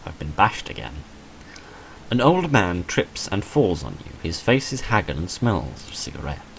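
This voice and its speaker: A man's voice: sits low, big pitch swings, measured pace, creaky, slight background noise, rough recording. A man reading visual novel text aloud dramatically, with dry, understated wry commentary in between. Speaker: gamer recording a playthrough.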